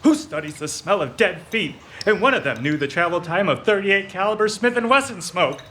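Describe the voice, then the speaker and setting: A man's voice: sits high, animated, a little fast, pushed and bright, slight background noise. A man playing Dawson, a sly sidekick. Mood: scornful. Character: Dawson, male detective's sidekick turned sinister. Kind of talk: radio play acting.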